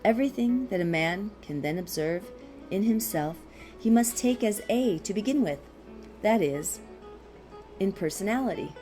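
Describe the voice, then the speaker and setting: A woman narrates a philosophical text with a soft, mellow tone at a medium pitch. Her reading is deliberate and measured, with pauses between phrases. Faint guitar music plays behind her voice. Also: expressive. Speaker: audiobook reader.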